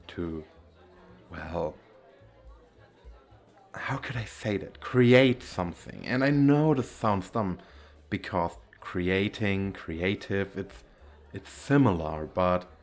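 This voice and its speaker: Low, unhurried, bashful single dad, acted by a man. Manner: hesitant, self-deprecating, chuckling, halting phrasing. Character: shy, playful single dad flirting at speed dating. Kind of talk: ASMR roleplay, casual conversational flirting.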